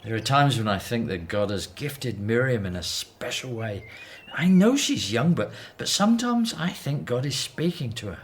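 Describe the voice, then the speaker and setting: Earnest Hebrew dad played by a man, devout, animated intonation, middle register, biblical audio drama. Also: medium pitch, normal speed, natural voice. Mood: wondering, worried. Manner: earnest, expressive. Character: devout, anxious Hebrew father in ancient Egypt. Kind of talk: biblical radio drama acting.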